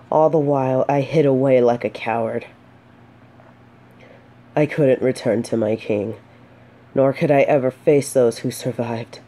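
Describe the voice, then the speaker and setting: A woman's voice: rumbling low, dark tone, heavily acted. A woman playing an earnest, remorseful exiled female knight in a romantic fantasy audio roleplay, speaking solemnly and faltering when flustered. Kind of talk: scripted romantic audio roleplay, addressing the listener.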